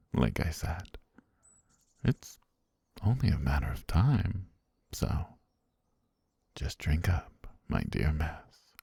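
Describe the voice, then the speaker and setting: Man acting a very deep, breathy, menacing butler. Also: no room sound, clean studio sound, heavily acted. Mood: quietly menacing. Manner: genteel, unhurried, softly menacing, often near whisper. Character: obsessive, sweetly menacing butler holding a princess captive. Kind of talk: intimate ASMR roleplay.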